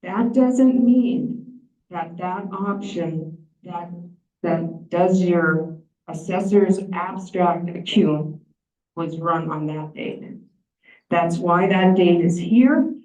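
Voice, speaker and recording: Woman presenter, mellow tone, distant mic in an echoey room with dull sound. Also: on the low side, takes it slowly. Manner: plain-spoken, explanatory. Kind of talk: meeting presentation. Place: large meeting room.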